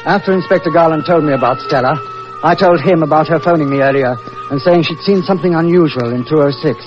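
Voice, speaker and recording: An actor playing an anxious, excitable man who runs a rooming house, in an old-time radio thriller. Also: a little high, fast talker, close to an everyday voice. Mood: troubled, narrating. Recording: dull old radio recording, music behind.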